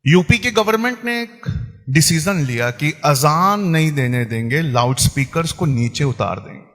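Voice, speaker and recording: A man with an Indian accent giving impassioned religious oratory in a deliberate, rhetorical, emphatic way. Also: on the high side, expressive, a bit bright. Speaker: religious speaker addressing a gathering. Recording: echoey hall PA with background music.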